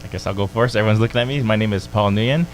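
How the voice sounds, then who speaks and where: A man from Toronto, a guest on a radio show, talking quickly and peppering his talk with "you know". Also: fairly low pitch, a little hiss or hum. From Toronto, Canada. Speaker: podcast producer and guest. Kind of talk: radio interview. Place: radio studio.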